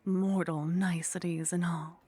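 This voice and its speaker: Woman doing a snooty flirty vampire lady, asmr roleplay, really slow n soft, teasing, close mic, faint music behind. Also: lowish voice, level tone. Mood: teasing, flirtatious. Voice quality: soft, breathy. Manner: slow, teasing, condescending, often dropping to a whisper. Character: haughty, flirtatious female vampire, teasing and worldly. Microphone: close microphone.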